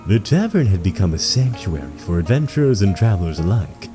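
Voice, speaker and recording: Dramatic male storyteller with a swooping, lively pitch. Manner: dramatic, measured storytelling delivery. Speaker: narrator. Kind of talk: scripted fantasy audio drama narration. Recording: background music under the voice. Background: music.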